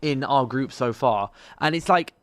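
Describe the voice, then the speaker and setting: A man's voice: mid-range voice, unhurried, a bit bright, no room sound. Chatty man on a live stream. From London. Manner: chatty, rambling with restarts, addresses viewers. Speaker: podcast co-host. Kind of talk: casual sports chat on a live stream.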